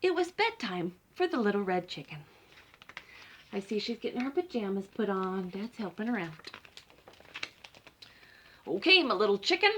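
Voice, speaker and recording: A woman hosting a story time, reading aloud to young children and addressing them directly in an animated way. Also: medium pitch, moderate pace, slight background noise. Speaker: library story time host.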